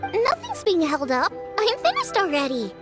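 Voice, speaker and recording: A woman's voice: very high voice, wildly swooping pitch, moderate pace, music in the background, full character voice. An actress voicing a young female chef who is upbeat, eager and encouraging, with a bubbly manner. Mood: cheerful. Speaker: chef, contestant.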